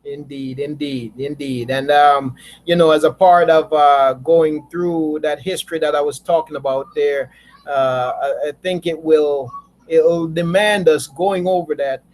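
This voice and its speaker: Male teacher, slightly high voice, easygoing and repetitive, call audio. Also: fairly even intonation, measured pace, rough recording. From Jamaica. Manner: warm, repeats words, laughs often. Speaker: teacher leading an online study group. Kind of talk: casual religious group chat.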